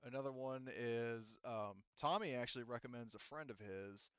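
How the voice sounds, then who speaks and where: A man acting as game master, casually narrating and making rulings in a halting, rambling way that often interrupts itself. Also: medium pitch, clean tone, no room sound, highs cut off.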